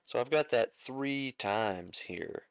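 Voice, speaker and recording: A man walks through an arranging lesson at an easy, slow pace, musing aloud and correcting himself as he goes. His voice is low and gravelly yet clear. The sound is close and dry. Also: expressive, no echo. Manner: thinks aloud, many fillers and self-corrections. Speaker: music arranger teaching. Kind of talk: casual screen-share music lesson.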